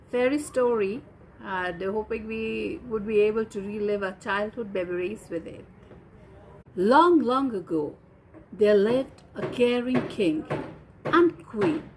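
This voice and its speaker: A woman narrating a fairy tale as a storyteller, in measured, deliberate short phrases. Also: mid-range voice, animated, a little hiss or hum, rough recording. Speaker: storyteller and host.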